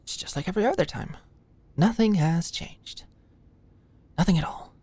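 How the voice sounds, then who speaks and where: A low-pitched young female medical student, acted by a woman, recorded close and dry. She is anxious and friendly, talking in a hesitant, conversational ASMR roleplay style and easily flustered around the person she secretly likes. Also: moderate pace, mellow, husky, dry recording. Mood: nervous self-reassurance. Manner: hesitant, chatty, nervous, conversational.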